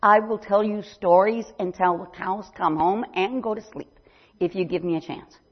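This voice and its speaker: A woman's voice: medium pitch, moderate pace, husky. An older woman giving a chatty mission presentation at church, rambling with many ums. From not from Brazil. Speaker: missionary speaker. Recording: dull lo-fi sound.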